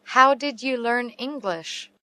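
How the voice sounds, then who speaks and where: Female, slow deliberate delivery, clear diction, dry studio sound. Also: on the high side, bright, forceful tone, no room sound. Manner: slow and carefully enunciated. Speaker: English teacher reading dialogue. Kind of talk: slow read-aloud English practice phrases.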